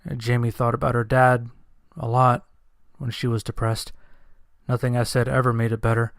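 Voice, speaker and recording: A man reads a first-person dramatic story as its narrator. His pitch stays fairly flat and his manner is somber and earnest. The sound is dry, with little room to it. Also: normal speed, creaky, dry recording.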